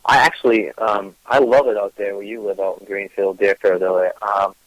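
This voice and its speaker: A man's voice: fairly low pitch, fairly even intonation, moderate pace, no echo, rough recording. A man with a Gloucester, Massachusetts accent, a guest chatting casually, with an easygoing manner full of ums and uhs. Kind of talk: casual interview chat.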